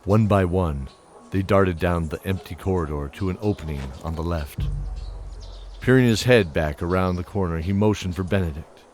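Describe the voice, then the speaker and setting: A man narrating a scripted fantasy audio drama in a measured, dramatic storytelling style. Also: deep voice, a little mellow, soft background music.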